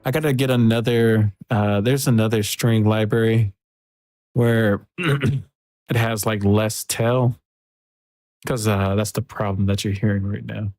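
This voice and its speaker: A man mixing music on a livestream, thinking aloud in a soft, relaxed, casual way. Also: lowish voice, a little slow, no room sound. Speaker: music producer streaming a mixing session. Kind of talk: casual livestream commentary while working. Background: faint music from the mix being worked on.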